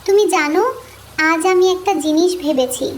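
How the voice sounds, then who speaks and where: A woman as a very high-voiced curious little girl. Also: moderate pace, a little hiss or hum. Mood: earnest, affectionate. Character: little village girl Piu, gentle, curious, self-denying. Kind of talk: cartoon moral story dialogue.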